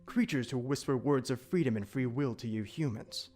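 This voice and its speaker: A man playing a calm, solemn immortal demon protector in an audio drama, speaking in formal, measured, archaic phrasing. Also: medium pitch, soft background music, lightly acted. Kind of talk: audio drama character acting.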